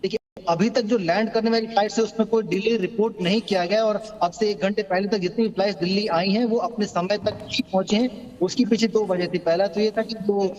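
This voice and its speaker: A man giving a live news report as a field reporter, in a halting, hesitant delivery. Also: high-pitched, steady tone, darkish tone, slight background noise. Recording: muffled, long hall-like reverb.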